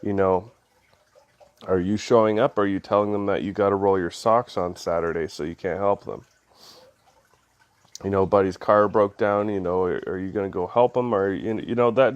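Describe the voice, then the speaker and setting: Stuffed-up, nasal man with a deep voice. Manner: loose and conversational, many ums and uhs. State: stuffy head cold, nasal. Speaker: devotional video host. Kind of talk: casual spoken devotional.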